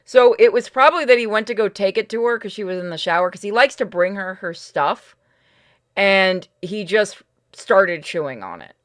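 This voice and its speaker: A woman's voice: mid-range voice, lively intonation, moderate pace, a bit bright. A woman live-streaming a game and chatting casually with viewers, informal and rambling as she explains things. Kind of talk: casual live-stream chat over gameplay.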